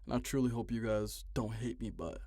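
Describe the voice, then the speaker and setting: A man's voice: fairly low pitch, fairly quick, vocal fry, mellow, dry recording. Twenty-something guy ranting his video essay, cussing a lot, very dry close sound. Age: 20s. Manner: exasperated, profane, emphatic.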